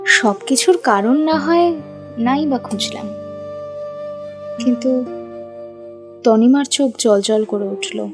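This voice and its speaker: A woman's voice: on the high side, slow, pauses often, over music. A woman narrating a scripted audio love story.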